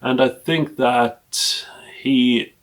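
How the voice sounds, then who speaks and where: Halting Swedish-accented man, casual collector. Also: medium pitch, steady tone. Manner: hesitant, halting delivery with trailing asides. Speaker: film collector presenting a collection. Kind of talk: casual unscripted show-and-tell.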